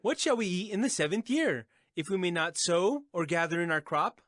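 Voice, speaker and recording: High-pitched, anxious Israelite questioner. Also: male voice, expressive, normal speed, fairly bright tone. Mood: worried, questioning. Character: questioning Israelite man, worried about food. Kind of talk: dramatized scripture acting.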